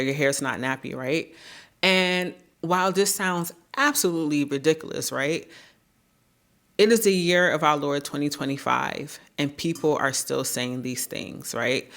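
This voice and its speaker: Deep-voiced woman, earnest and measured. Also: a bit bright. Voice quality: naturally deep voice. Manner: earnest, measured, often tags sentences with "right?". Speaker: YouTube creator. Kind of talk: reflective talking-head commentary.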